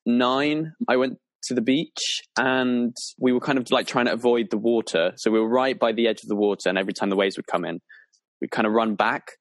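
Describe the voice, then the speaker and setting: Co-host: young man, 19, mid pitch, dry close mic, hesitant, self-correcting. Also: steady tone, fairly quick, no echo. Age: young adult. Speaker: podcast co-host. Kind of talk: casual podcast chat.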